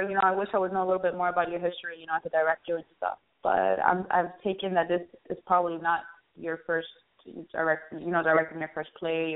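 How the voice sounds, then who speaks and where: A woman interviewing guests in a casual, chatty, informal way, often dropping in "you know". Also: on the low side. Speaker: interviewer, show host. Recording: phone-like, band-limited radio line.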